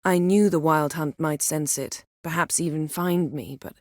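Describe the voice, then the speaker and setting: Proud game sorceress, kinda low voice, crisp imperious phrasing, wry and composed, very dry recording. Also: female voice, fairly even intonation, moderate pace, dry recording. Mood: measured, businesslike. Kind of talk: video game character dialogue.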